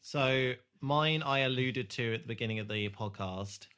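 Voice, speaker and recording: Male podcast host, very bright and punchy, loose jokey conversational delivery with swearing. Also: mid-range voice, normal speed, smooth. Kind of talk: casual chat.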